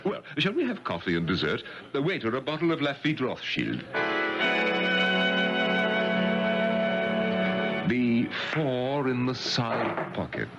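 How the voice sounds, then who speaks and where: An actor playing a blustering, pompous, wealthy and impulsive knight in a radio drama. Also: male voice, medium pitch, huge pitch swings, moderate pace, over loud music. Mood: jovial. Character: blustering wealthy English knight, hot-headed, impulsive, grandly hospitable. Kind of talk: 1970s radio drama acting. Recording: dull, noisy old radio recording.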